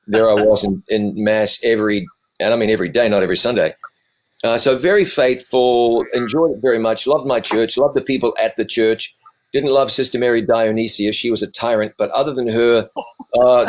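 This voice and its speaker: A middle-aged man with a New Zealand accent softened by years in the US, telling his story in an animated, joking way. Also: mid-range voice, moderate pace, lo-fi sound. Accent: New Zealand, softened by decades in the US. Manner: animated, self-deprecating, jokey. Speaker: evangelist and TV presenter. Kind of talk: storytelling interview answers.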